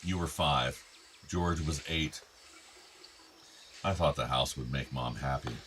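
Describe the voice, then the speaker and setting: An actor playing a gentle, affectionate older father with regrets, in a naturalistic radio drama. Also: rumbling low, takes it slowly, slight background noise, heavily acted. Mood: calm, musing. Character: doting, regretful older father of two grown sons.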